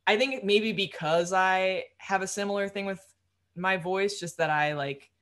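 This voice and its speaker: A young woman musician with a low voice, talking casually in an interview, rambling with lots of fillers and quick laughs. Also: fairly bright tone. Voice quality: low-register voice. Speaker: musician guest.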